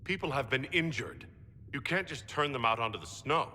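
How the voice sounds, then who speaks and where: A man's voice: mid-range voice, animated, moderate pace, bright, forceful tone, long reverb tail, a little hiss or hum. A man playing an earnest, polite heroic nobleman in a fantasy game, speaking directly and courteously. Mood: concerned. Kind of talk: dramatic game cutscene acting.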